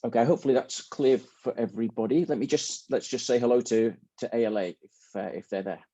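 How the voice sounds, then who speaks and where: A man's voice: mid-range voice, fast talker, warm tone, no echo. A man hosting a student symposium on a video call, informal and a little hesitant, often rephrasing as they go. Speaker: host and moderator of a student symposium. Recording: dull, dry video-call audio.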